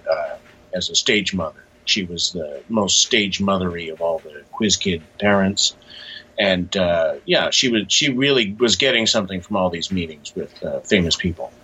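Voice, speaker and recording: A man answering as an interview guest in a casual, reflective and measured explanatory way. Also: sits low, pushed and bright, slightly rough, muffled recording. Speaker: interview guest, graphic novelist.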